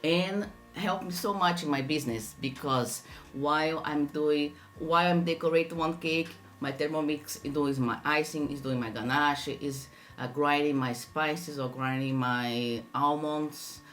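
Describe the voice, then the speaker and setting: A woman from Brazil, a pastry chef, chatting as an interview guest, enthusiastic and quick to laugh. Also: sits low, steady tone, soft background music. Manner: enthusiastic, laughs often, acts out her own exclamations. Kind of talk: casual interview.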